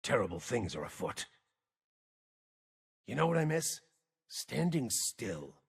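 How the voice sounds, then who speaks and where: A very husky, gravelly game mage, weary and brooding. His grim, terse lines swing widely in pitch and ring with long reverb. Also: big pitch swings, slow, heavily acted. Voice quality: raspy, gravelly. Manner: terse, grim, theatrical delivery. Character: weary, gruff, brooding wandering mage guarding dangerous runes. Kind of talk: video game character voice lines.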